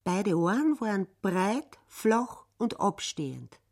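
Slow, expressive woman narrator. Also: mid-range voice. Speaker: second narrator. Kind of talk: radio play narration.

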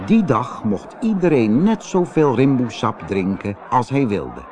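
A man tells a scripted fairy tale for children. His delivery is slow and dramatic, swinging widely in pitch. A faint noise sits behind the voice. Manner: expressive, dramatic. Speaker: narrator. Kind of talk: scripted children's audiobook storytelling.

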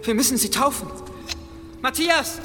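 A man's voice: extremely high pitch, a little slow. An actor playing an anxious, devout young man in a medieval fantasy radio play, agitated and earnest. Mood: distraught. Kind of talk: German radio play acting. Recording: long reverb, music underneath.

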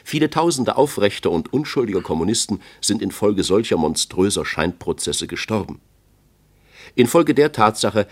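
An actor playing a male Soviet leader in a radio play, giving a formal, accusatory political speech in an oratorical manner. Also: on the low side.

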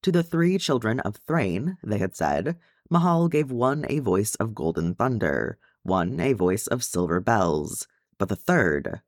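Low-voiced man narrating, very animated. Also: unhurried, no room sound, studio quality. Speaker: fan fiction narrator. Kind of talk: scripted story narration.

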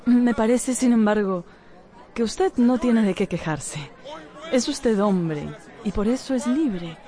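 An actress playing a restless, yearning doctor's wife in a radio drama, genteel and restrained. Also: female voice, on the high side, slow pace, dark tone, some chatter behind. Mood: coy, bitter.

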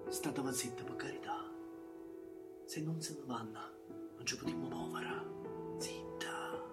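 A man's voice: medium pitch, natural voice. Distant, frightened southern Italian parent acted by a man. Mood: hushed fear. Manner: hushed, halting, urgent. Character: frightened parent hiding, hushing and pleading for mercy. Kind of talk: theatrical monologue. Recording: distant stage sound under loud guitar music. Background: loud plucked guitar music.

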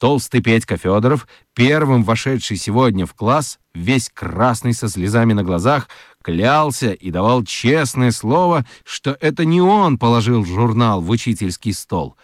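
A man narrating a children's radio drama as an expressive storyteller, reading scripted literary prose. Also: mid-range voice, unhurried, few pauses, no echo, clean studio sound.

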